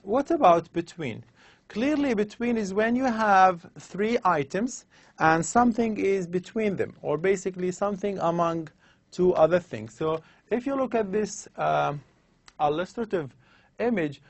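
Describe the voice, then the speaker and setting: A man lecturing on English grammar over slides, explaining slowly and repeating his examples. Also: sits high, no room sound.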